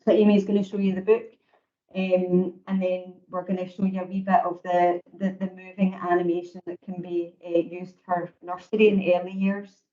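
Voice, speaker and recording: Booming flat-toned Scottish woman presenting. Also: normal speed. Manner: steady, explanatory, calls her own voice booming. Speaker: education presenter. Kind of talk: online meeting presentation. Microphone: video call. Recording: dull lo-fi video call audio.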